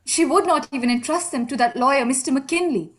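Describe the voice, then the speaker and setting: Casting: actress as young heiress at an inquest, high voice, earnest and grieving, formal period radio drama. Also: female voice, fairly quick, natural voice. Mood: earnest, composed.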